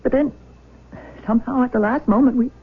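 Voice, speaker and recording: An actress playing an anguished, tender older adoptive mother in a 1970s radio drama, halting and repeating her words. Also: fairly high pitch, slightly rough. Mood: pleading. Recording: dull lo-fi old radio broadcast.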